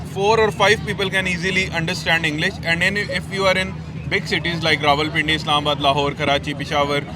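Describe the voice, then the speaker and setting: A man, explaining steadily in a street interview. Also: high voice. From Pakistan. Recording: outdoor street with crowd and traffic.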